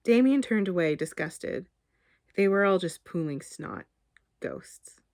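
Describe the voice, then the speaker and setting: A woman author reading her short story aloud in a measured, expressive literary narration. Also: fairly low pitch, dry recording, studio quality.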